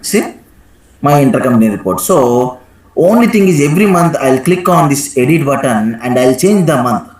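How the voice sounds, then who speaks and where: A man teaching a live software tutorial step by step, instructing and often checking in with a questioning "right?". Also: medium pitch, expressive, moderate pace. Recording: echoey room sound.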